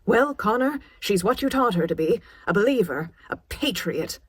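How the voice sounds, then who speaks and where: A woman's voice: mid-range voice, expressive, moderate pace, husky, no echo, pitched above the performer's own voice. A woman voicing a disapproving, status-conscious middle-aged mother in an audiobook, clipped and scolding. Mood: bitter rebuke.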